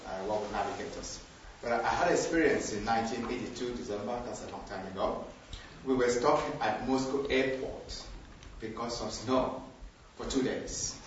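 An older man from Nigeria with a Nigerian accent, telling a personal story to a group in an unhurried way with small hesitations. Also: mid-range voice, slight background noise. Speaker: group participant sharing a story. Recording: echoey, far from the mic, dull.

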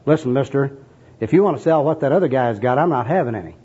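A man's voice: medium pitch, lively intonation, quick pace, warm tone, husky. A man voicing a dejected, bleary skid row bum in a comic skit, talking in puzzled, slangy street talk. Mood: wary, irritated. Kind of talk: comic skit acted out in a sermon. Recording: dull, muffled lo-fi recording.

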